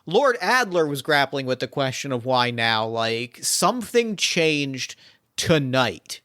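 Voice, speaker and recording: A man's voice: mid-range voice, big pitch swings, slow, sharp tone. A man guides a player through a tabletop mystery game as its game master. He reasons aloud and weighs each choice in turn. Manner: thinks aloud, weighing options.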